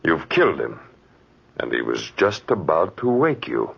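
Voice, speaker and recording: Radio drama role: a horrified rustic giant, extremely low and swooping, husky, slow, dull old recording. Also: male voice, huge pitch swings, exaggerated voice. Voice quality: very deep, gravelly, husky. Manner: slow, halting, aghast. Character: horrified, simple big backwoods man. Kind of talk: 1940s radio acting.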